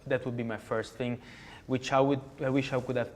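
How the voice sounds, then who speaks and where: A man's voice: mid-range voice, little pitch movement, brisk, a little hiss or hum. A man with an Italian accent, speaking English as a guest in an interview, hesitant with many fillers and restarts. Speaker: podcast guest. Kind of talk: conversational interview.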